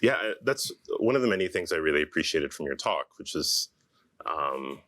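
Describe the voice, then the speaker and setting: A man moderating a lecture Q&A, asking thoughtful questions at a measured pace. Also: a bass voice, lively intonation, pushed and bright. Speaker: moderator, urban planner.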